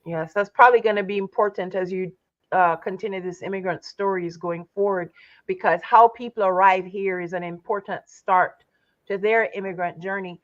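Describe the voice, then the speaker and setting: A woman interviewer asking measured, encouraging questions over a video call. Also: mid-range voice, steady tone, dry recording. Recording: dry, slightly dull audio.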